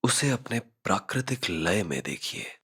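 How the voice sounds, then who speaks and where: A man narrating a scripted guided meditation, giving measured instructions with frequent pauses. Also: lowish voice, very animated, bright, forceful tone, husky.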